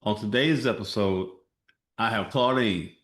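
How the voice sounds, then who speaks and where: A man's voice: fairly low pitch, unhurried, a bit bright. A man hosting an interview show, encouraging his guest and asking open questions. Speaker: podcast host.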